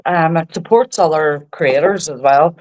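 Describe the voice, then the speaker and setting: A woman's voice: deep voice, a little slow, darkish tone, poor audio. A woman from Belfast with a Belfast accent, talking casually as a guest in a podcast interview. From Belfast, Northern Ireland. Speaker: podcast guest, social media creator.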